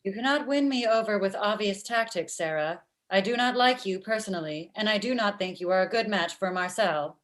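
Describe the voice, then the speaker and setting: A woman acts out a sarcastic female robot in a comedy. She speaks in a stilted, deadpan way, bluntly and without sympathy, at a middle pitch. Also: fairly quick, few pauses, lightly acted. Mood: stiff, defiant. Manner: slightly stilted, deadpan, like a digital assistant. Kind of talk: table read of a comedy script. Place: indoor room at home, video call. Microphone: computer mic on video call.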